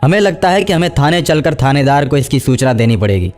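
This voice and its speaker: A man's voice: medium pitch, big pitch swings, brisk, a little mellow, faint music behind, lightly acted. A man playing a firm, level-headed village headman who reasons and protests, in a cartoon horror story. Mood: reasoning. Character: assertive, level-headed male village headman, indignant at injustice. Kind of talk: Hindi animated horror story acting.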